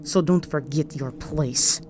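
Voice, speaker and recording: A woman voicing an imperious, seductive vampire countess in a scripted audio roleplay, poised, commanding and softly menacing. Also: low voice, slow pace, heavy background noise. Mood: cold, menacing.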